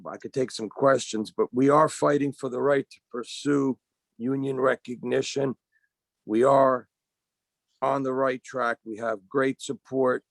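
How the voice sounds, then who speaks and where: Gravelly man losing his voice. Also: mid-range voice, steady tone, no echo. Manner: halting, searching for words. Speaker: union organizer. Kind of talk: panel remarks on a webinar. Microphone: video call.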